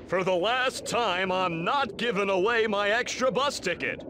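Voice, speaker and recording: A man's voice: high voice, unhurried. A man voicing a vain, irritable young lobster lifeguard in a cartoon video game, with brash surfer-dude delivery. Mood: exasperated. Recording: clearly noisy game audio.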